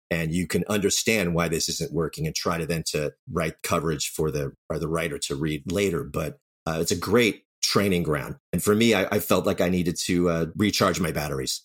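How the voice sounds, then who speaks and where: A man's voice: low voice, husky. A man being interviewed as a writer and director, telling his stories in a candid, self-deprecating, conversational way. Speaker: writer and audio drama director, interview guest.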